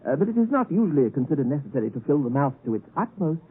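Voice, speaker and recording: Husky, animated young English gentleman. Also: on the high side, very fast. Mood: genial, courteous. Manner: courteous, mannered, digressive. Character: genteel, tactful, cheerful young London gentleman. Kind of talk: 1950s radio drama acting. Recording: tinny, muffled 1950s radio broadcast recording.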